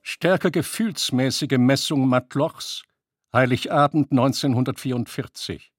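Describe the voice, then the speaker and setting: A man's voice: medium pitch, a little slow, faint music behind. A man reading headings and lists in a scripted, formal narrating style.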